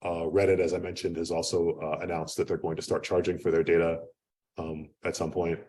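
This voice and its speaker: A man giving an unscripted academic lecture in a loud, projecting voice, talking conversationally with lots of fillers and restarts. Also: low-pitched, flat delivery, a little fast. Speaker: guest lecturer. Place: lecture room. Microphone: speaking away from the microphone. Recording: distant room mic.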